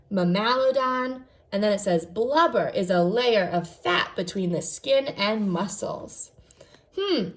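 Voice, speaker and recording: A woman teacher reading aloud to young children, enunciating carefully and adding short explanatory asides. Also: medium pitch. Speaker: first grade teacher.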